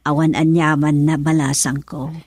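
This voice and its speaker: A woman's voice: low-pitched, level tone, moderate pace, a little mellow, husky, no room sound, clean studio sound. A woman playing a kindly, caring rural mother in an Ilocano radio drama, with a Filipino accent. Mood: apologetic, caring.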